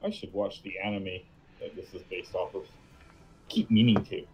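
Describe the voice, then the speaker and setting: A man's voice: low-pitched, moderate pace, a little mellow, rough recording. A man chatting casually as a co-commentator on a game stream, dropping short joking asides. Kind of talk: casual chat. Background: background music.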